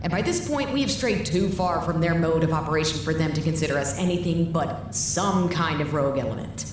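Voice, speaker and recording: A woman's voice: low-pitched, fairly quick, lightly acted. A woman playing a blunt, weary leader of a rebel research staff in an audio drama, speaking frankly and dryly with some swearing. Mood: frank, bitter. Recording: echoey room, clear background noise.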